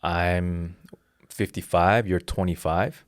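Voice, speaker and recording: A man's voice: low voice, steady tone, slow pace, no room sound, studio quality. A man in his thirties, a jiu-jitsu instructor, explaining his philosophy earnestly in a casual interview with frequent restarts. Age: 30s.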